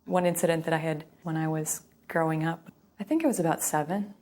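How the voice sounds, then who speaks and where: Female interviewee from the US. Low voice, little melodic movement, thoughtful and articulate personal storytelling. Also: fairly even intonation, brisk, darkish tone. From United States, Western New York. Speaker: documentary interviewee.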